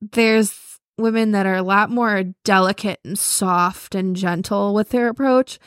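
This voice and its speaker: A woman's voice: medium pitch, fairly even intonation, a little slow, clear, resonant tone, no echo, clean studio sound. A young woman co-hosting a podcast, chatting casually and filling her talk with "like". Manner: chatty, lots of "like". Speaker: podcast co-host. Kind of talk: casual chat.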